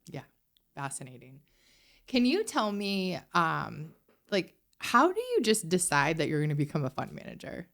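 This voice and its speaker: A woman hosting a podcast interview, chatty and curious, jumping in with quick questions. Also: low voice, wildly swooping pitch, gravelly, a bit bright. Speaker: podcast host. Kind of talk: casual interview chat.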